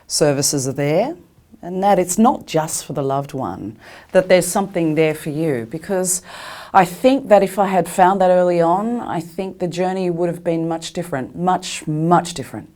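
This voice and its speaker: A woman's voice: fairly low pitch, moderate pace, dark tone. A woman carer giving reflective personal testimony, often repeating words for emphasis. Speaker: carer sharing personal experience.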